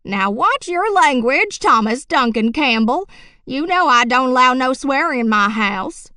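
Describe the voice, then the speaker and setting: A woman's voice: high voice, lively intonation, a little slow, bright, forceful tone, no room sound, clean studio sound, pitched above the performer's own voice, exaggerated voice. A woman narrating an audiobook, voicing a stern old grandmother who scolds about swearing. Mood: scolding.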